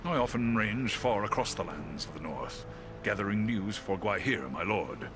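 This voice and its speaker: A man voicing a noble, courteous talking eagle in a video game, speaking formally and with measured gravity. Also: lowish voice, animated, background noise, close to an everyday voice. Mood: grateful, dignified. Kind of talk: video game cutscene acting.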